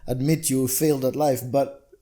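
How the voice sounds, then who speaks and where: An easygoing man from Zambia chats as a podcast guest, telling his story loosely with fillers. His voice is mellow and warm, at a middle pitch. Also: steady tone, moderate pace. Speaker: podcast guest, amateur comedian. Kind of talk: casual podcast chat.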